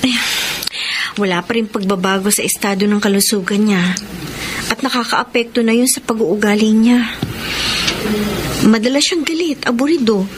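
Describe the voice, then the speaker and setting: An actress playing a devoted, worn-down wife in a radio drama, speaking in an earnest, emotive way. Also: female voice, a little high, normal speed, pauses often, noisy background, natural voice. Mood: confiding, weary. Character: devoted, worn-down wife defending her friendship. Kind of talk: Tagalog radio drama acting. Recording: music bed under the voice.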